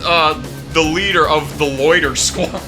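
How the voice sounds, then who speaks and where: A man's voice: fairly high pitch, fairly even intonation, a little slow, over music. A young adult man doing casual host commentary and reading a summary aloud, sweary and amused. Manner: sweary, amused, often breaking into laughter. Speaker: video host.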